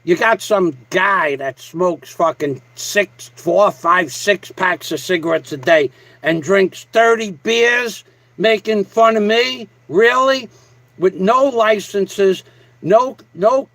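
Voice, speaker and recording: A man's voice: high-pitched, slow, a bit bright, no room sound. A man live streaming casually to his viewers, talking in a combative, boastful, foul-mouthed way. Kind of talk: casual livestream rant to a viewer.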